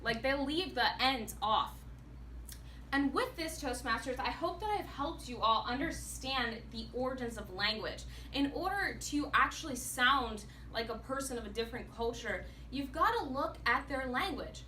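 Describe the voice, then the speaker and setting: A woman delivers an animated prepared speech. Her voice is bright, forceful and fairly high. It sounds like it was caught from across an echoey meeting room, with faint noise underneath. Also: moderate pace, recorded from a distance. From United States. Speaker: public speaker, actor and singer.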